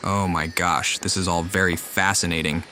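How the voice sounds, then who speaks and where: A man with a very low voice gives casual commentary on a game he is playing. Clear game music plays behind him. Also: little pitch movement, moderate pace. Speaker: game streamer reacting to cutscenes.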